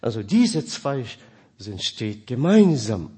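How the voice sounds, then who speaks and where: A man preaching a sermon in German, which is not his first language, asking his listeners questions and swinging between loud and quiet. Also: medium pitch, big pitch swings, slow, breathy edge.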